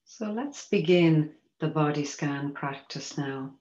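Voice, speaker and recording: Meditation guide, female, low register, gentle and measured delivery, inviting tone; dull-sounding recording in an echoey room. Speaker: mindfulness teacher guiding a body scan. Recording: roomy echo, dull muffled sound.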